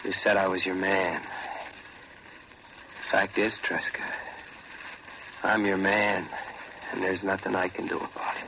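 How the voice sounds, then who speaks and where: An actor playing a hard-bitten, fearful small-time criminal on the run, terse and slangy, in 1940s radio horror style. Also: male voice, deep voice, level tone, measured pace, gravelly, long pauses, slight background noise, full character voice. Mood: wry, resigned. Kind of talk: 1940s radio horror acting, first-person narration and dialogue. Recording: muffled vintage radio drama with loud music.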